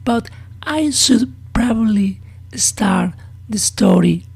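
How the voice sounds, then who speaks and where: Woman as elderly horror-story survivor, frail, breathy, extremely slow delivery, heavy fry. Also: mid-range voice, animated, darkish tone, pitched above the performer's own voice. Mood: grave, confiding. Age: 80s. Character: frail eighty-five-year-old woman recounting her near death. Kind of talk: horror audio story monologue.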